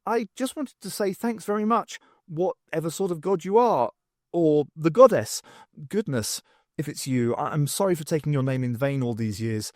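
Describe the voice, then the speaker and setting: A man voicing a wry, excitable woman adventurer in her thirties, polite and a little awkward, for an audiobook. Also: on the high side, moderate pace, dry recording, clean studio sound, natural voice. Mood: grateful, flustered. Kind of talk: audiobook character voice.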